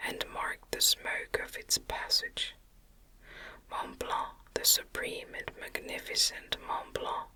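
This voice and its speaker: Slow, crisp whispering woman reader. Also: low-quality recording. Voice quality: breathy unvoiced whisper. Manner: gentle, measured. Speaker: audiobook reader. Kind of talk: ASMR whispered reading of a gothic novel.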